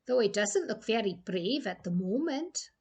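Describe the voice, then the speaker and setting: A woman's voice: on the high side, animated, normal speed, a little mellow, husky. A woman voicing an affectionate, crooning castle cook with a Scottish accent for a storybook. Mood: teasing, fond. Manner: crooning, fond, teasing.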